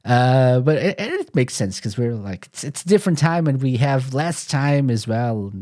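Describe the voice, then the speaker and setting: A man's voice: mid-range voice, lively intonation, moderate pace, warm tone. Man hosting a casual chat. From Portugal. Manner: rambling, self-correcting storyteller. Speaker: podcast host.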